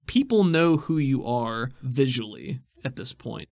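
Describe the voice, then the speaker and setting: A man's voice: mid-range voice, sing-song, a little slow, vocal fry, darkish tone, no echo. A man running a tabletop role-playing game, narrating scenes and explaining rules in a conversational, explanatory way. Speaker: game master. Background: music.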